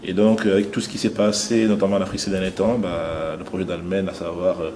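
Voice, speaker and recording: Deep-voiced earnest man, noisy room. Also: flat delivery, a little mellow. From Cameroon. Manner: earnest, halting with fillers. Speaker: fashion model. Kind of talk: testimonial interview.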